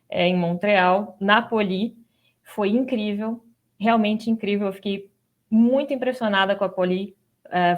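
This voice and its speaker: Young Brazilian woman, mid-range voice. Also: medium pitch. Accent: Brazilian Portuguese. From São Paulo state, Brazil. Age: young adult. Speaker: guest, doctoral student. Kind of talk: informal personal account.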